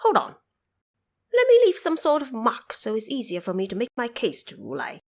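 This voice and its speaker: A woman voicing a brash, cocky male monkey king, boastful and excitable, in a fantasy audio drama. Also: a little high, normal speed. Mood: cocky, scheming.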